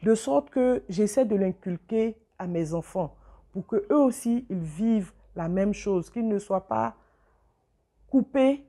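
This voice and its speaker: Mellow-voiced woman, calm and reflective. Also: medium pitch, slow pace. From Côte d'Ivoire. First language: Avikam. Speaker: interviewee, mother. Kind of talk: interview testimony.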